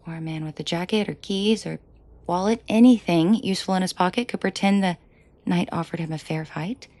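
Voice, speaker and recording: A woman playing a commanding, protective mafia boss in an intimate roleplay audio drama, shifting between cold authority and soft coaxing. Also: medium pitch, no room sound, natural voice. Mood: furious, scornful. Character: commanding female mafia boss, furious yet protective and tender.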